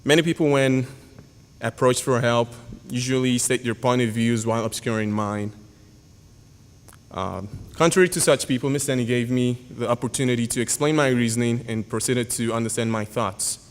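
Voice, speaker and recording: A young man, a graduating senior whose first language is Yoruba, reading a formal, earnest speech at a podium with halting pauses and fillers. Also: medium pitch. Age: young adult. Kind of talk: prepared speech read at a podium. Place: school auditorium. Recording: hall reverb.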